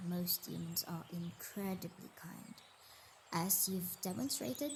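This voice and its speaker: A woman's voice: lowish voice, darkish tone, a little hiss or hum. A woman voicing a sickly, motherly Earth goddess in an intimate roleplay monologue, hesitant and emotional, dipping toward whispers. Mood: hesitant regret. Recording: nature ambience with birdsong behind voice.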